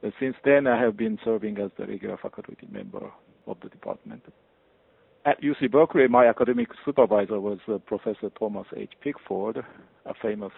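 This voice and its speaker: A man, a guest professor answering interview questions in a measured, formal way with frequent pauses. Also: fairly low pitch, expressive, vocal fry, breathy edge. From Japan. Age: 50s. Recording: tinny phone-like line.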